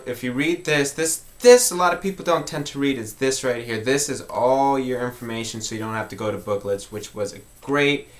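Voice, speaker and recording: A man talking informally as he hosts an instructional music tutorial. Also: medium pitch.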